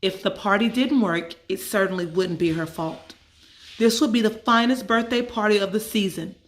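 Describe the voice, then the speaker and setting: A woman reading a children's story aloud as a storyteller, with measured, expressive phrasing and dramatic emphasis. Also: mid-range voice, a little hiss or hum, poor audio. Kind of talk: expressive scripted story narration.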